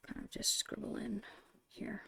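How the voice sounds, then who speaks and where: A woman whispering a casual, gentle ASMR drawing tutorial in a breathy, unhurried voice. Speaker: ASMR host and digital artist.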